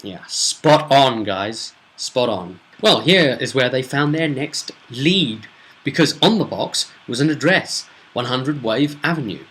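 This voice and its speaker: Male game master, mid-pitched and sharp-edged, delivering a casual, chatty solo campaign recap with punchy asides. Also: expressive, slow, rough recording.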